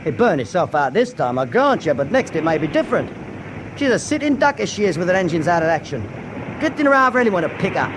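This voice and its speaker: High-voiced grumbling British sailor. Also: male voice, sing-song, fast, background noise. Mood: argumentative, gloomy. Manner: sardonic, slangy, argumentative. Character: grumbling, pessimistic working-class British navy sailor. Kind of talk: radio drama acting.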